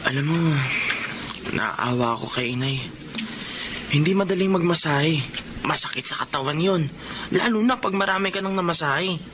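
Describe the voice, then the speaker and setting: A man's voice: fairly high pitch, animated, moderate pace, pauses often, noisy background, lightly acted. A young man with a Filipino accent playing an earnest, devoted, respectful son in a radio drama. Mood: caring.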